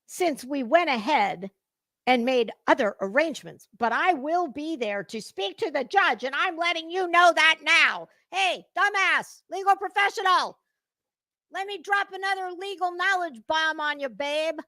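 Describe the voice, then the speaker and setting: High, bright, slow-talking sarcastic woman. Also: expressive, no room sound, clean studio sound. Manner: sarcastic, scornful, blunt and sweary. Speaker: host of a gossip commentary show. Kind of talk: dramatic reading with sarcastic live commentary.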